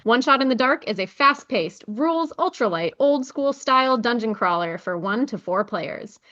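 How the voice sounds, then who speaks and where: A woman speaks as a podcast host in a friendly interview style. Her voice sits somewhat high and has a bright, forward tone. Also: animated, moderate pace, muffled recording.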